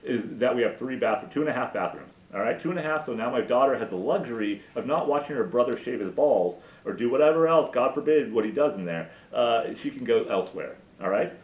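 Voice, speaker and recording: A man in his forties talks to a camera alone in his garage, swearing freely and mocking himself. His voice jumps around a lot in pitch. The roomy, echoing sound has a dull, lo-fi edge. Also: animated, brisk, few pauses. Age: 40s. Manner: profane, self-mocking, rambling. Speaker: podcast host. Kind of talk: solo rambling monologue. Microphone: camera mic.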